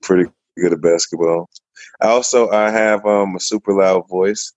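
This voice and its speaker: A man answering a podcast interviewer's questions in an easygoing, measured way, pausing often as he thinks. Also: low-pitched, fairly even intonation, a little mellow, dry recording, dull audio. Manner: easygoing, measured, with frequent pauses. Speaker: podcast guest.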